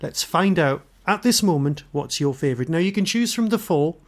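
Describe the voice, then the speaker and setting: A man's voice: on the high side, animated, normal speed. A man hosting a casual podcast interview in an easygoing, reassuring, conversational way.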